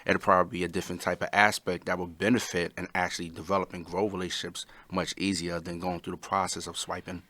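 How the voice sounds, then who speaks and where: A man with a very deep yet bright voice in a casual panel discussion. He speaks earnestly and builds long, explanatory sentences. His delivery stays steady and thoughtful. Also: fast, hardly any pauses. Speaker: panel guest.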